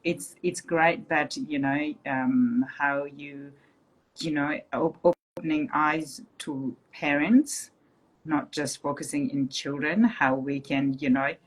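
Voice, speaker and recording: Slow, deep-voiced Nepali-accented woman host. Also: fairly even intonation, husky, rough recording. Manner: unhurried, many ums, affirming. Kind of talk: casual livestream interview. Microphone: video call.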